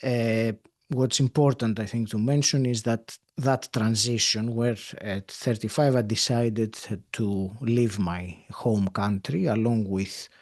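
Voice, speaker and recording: A man in his fifties from Greece with a Greek accent, speaking English as a second language, answering a podcast interviewer in a thoughtful way with many pauses and fillers. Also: on the low side, steady tone, darkish tone. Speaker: podcast guest. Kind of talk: interview conversation.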